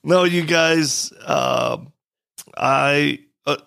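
A man's voice: a little high, extremely slow, vocal fry, a bit bright, clean tone, no echo. A man hosting a podcast in a studio, chatting casually with a guest in a joking, blunt and direct way. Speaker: podcast host. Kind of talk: casual interview chat.

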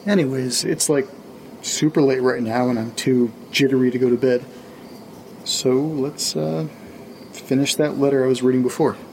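A man playing a jittery late-night audio diarist, speaking quietly and casually. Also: medium pitch, steady tone, normal speed, a little hiss or hum, lightly acted, low-quality recording. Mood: uneasy, apologetic. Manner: hushed, conversational, informal. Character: jittery, foul-mouthed man recording a late-night spooky audio diary. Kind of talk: confessional audio-diary horror fiction, casual.

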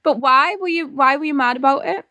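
A young woman with a strong Geordie accent speaks frankly and laughs easily in casual chat. Her voice sits on the high side, and the sound is dry and close. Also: brisk, bright, forceful tone, no room sound. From North East England. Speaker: podcast guest.

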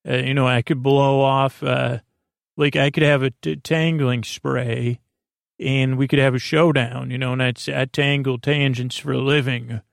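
A man hosting a podcast with a goofy, improvised bedtime monologue, halting and meandering as he repeats himself and trails off mid-word. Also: medium pitch, level tone, gravelly, no echo, studio quality. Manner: goofy, silly, self-deprecating. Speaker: podcast host.

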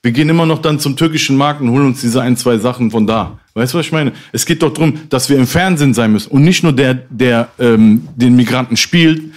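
A man's voice: mid-range voice, a little fast, hardly any pauses. A man in his thirties, a rapper, talking through a topic in a hesitant, reflective way with many restarts. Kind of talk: casual conversation.

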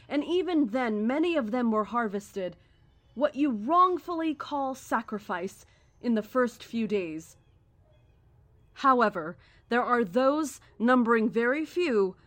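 Female cult leader, higher voice, measured, formal, ominously calm, horror audio drama.

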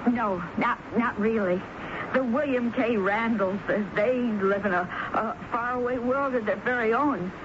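An actress playing a meek, guarded typist in a 1970s radio drama, speaking haltingly and timidly repeating words. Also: female voice, on the high side, close to an everyday voice. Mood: flustered, self-effacing. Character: meek, self-effacing female typist, guarded and nervous. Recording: muffled, noisy 1970s radio broadcast.

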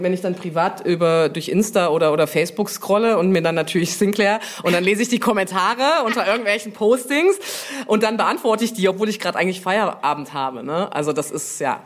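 A woman chatting informally as an interview guest, often pausing to find her words mid-sentence. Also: lowish voice. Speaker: brand manager, podcast guest. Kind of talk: casual interview chat.

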